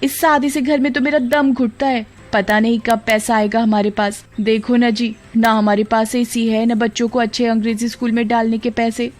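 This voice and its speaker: A woman voicing a discontented, sharp-tongued young wife in a cartoon story, complaining and scolding. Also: on the high side, level tone, moderate pace, hardly any pauses, close to an everyday voice. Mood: nagging, fed up. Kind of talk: Hindi cartoon story voice acting. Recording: background music.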